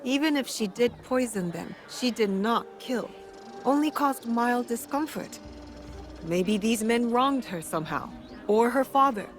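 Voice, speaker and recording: A woman playing a composed, fair-minded samurai in a video game, calm, assured and direct. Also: a little high, a little slow. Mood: reasoning. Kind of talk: dramatic game voice acting. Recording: loud music behind.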